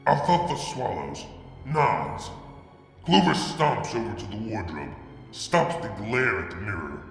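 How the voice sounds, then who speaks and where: A man's voice: fairly low pitch, sing-song, creaky, soft background music. Brooding man narrating in an echoey room. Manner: brooding, deliberate, with dramatic drops in loudness. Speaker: fanfiction reader. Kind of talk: dramatic first-person story narration. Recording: reverberant room with long echo.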